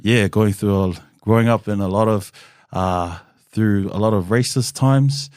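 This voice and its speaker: A man in his thirties with a low voice shares his story in an easy, candid way. He fills his pauses with "um" and "kinda". The sound is close and dry, with no room around it. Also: smooth, no room sound. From New Zealand. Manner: relaxed and open, with frequent "um" and "kinda". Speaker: guest.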